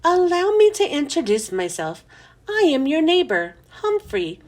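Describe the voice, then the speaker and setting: A woman's voice: high-pitched, very animated, unhurried, a little mellow. A woman voicing a small, friendly male pet hamster in a storybook, with a polite, earnest manner. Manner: polite, earnest, slightly formal.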